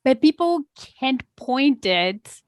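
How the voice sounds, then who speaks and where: A woman's voice: high voice, sing-song, slow, no room sound, studio quality. A middle-aged woman with a Polish accent, a business coach telling her story as a podcast guest, easygoing and laughing lightly. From Poland.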